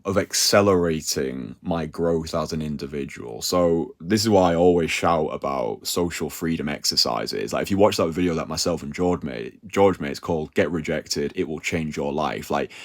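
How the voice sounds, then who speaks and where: Young guy, deep low voice, chatty coaching talk full of "like" and "yeah?". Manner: animated, slangy, full of "like" and "yeah?" tags. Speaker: dating coach. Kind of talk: casual advice talk.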